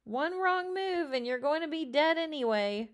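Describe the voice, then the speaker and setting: A woman voicing a bossy, excitable young girl in a storybook, calling out in an urgent shriek. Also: sits high, normal speed, pitched above the performer's own voice. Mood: urgent, shrill.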